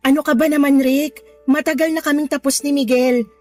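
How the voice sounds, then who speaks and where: An actress plays a young Filipina mistress in a radio drama, cajoling and money-minded. Her voice is on the high side and she sweet-talks her lover. Soft music runs behind her. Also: steady tone, fairly quick, lightly acted. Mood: placating. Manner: coaxing, sweet-talking. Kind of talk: Tagalog radio drama acting.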